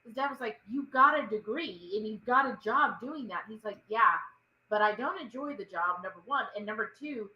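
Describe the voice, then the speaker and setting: Bright-voiced Texan woman hosting a chat. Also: a little high, lively intonation, moderate pace, lo-fi sound. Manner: chatty, laughs often, reads comments aloud. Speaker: host reading viewer comments. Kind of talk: casual livestream chat. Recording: echoey room.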